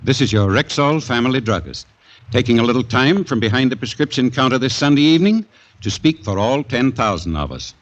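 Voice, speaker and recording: A man acting as a sincere, dependable family druggist in a 1940s radio ad. His mid-pitched voice moves expressively through the pitch. The vintage recording sounds dull and narrow. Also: lively intonation, fairly quick, natural voice. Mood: earnest, assured. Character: earnest, trustworthy neighborhood pharmacist. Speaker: sponsor spokesman. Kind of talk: 1940s radio commercial read.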